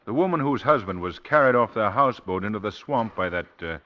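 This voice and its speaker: An actor playing a suave, curious gentleman sleuth in a 1940s radio drama, polite and quietly persistent. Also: on the low side, lively intonation, natural voice. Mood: calmly probing. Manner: polite, measured, probing. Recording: muffled old 1940s radio recording.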